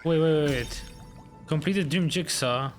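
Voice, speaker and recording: A man with a slightly high voice gives casual gameplay commentary. He talks to himself in loose, questioning phrases, with faint game music behind him. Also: moderate pace. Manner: muttering to self, questioning. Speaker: game streamer.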